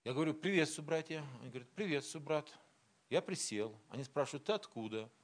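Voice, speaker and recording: Middle-aged preacher from Siberia, raised-pitch man, storyteller acting out dialogue, sermon delivery. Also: fast. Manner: storytelling, quoting dialogue, grows animated.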